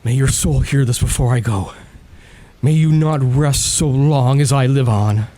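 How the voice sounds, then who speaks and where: A man's voice: mid-range voice, unhurried, warm tone, close to an everyday voice. A man playing a bitter, passionate, grieving lover in a melodramatic audio drama, tormented and intense. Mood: desperate grief. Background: dramatic music.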